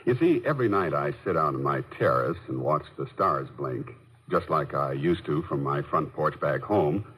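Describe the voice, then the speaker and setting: A man's voice: rumbling low, animated, normal speed, a bit bright, slight background noise. An actor playing a wistful, neighborly man telling his story in a folksy 1950s radio ad style. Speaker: testimonial storyteller in a beer commercial. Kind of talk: 1950s radio ad monologue, scripted narration. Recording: old radio recording with long reverb.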